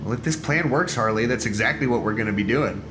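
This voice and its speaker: A man's voice: mid-range voice, animated, fast talker, close to an everyday voice. A man acting in an audio drama as a laid-back, blunt coworker with a casual, slightly hesitant, dryly teasing delivery. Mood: casual, reassuring.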